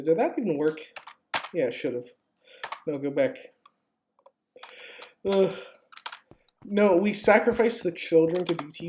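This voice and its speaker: Slow-paced, lowish-voiced woman streaming games. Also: dark tone. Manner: informal, sweary, jokey mock-pleading with viewers. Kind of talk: casual live game stream commentary. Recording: clearly noisy home stream, keyboard clicks.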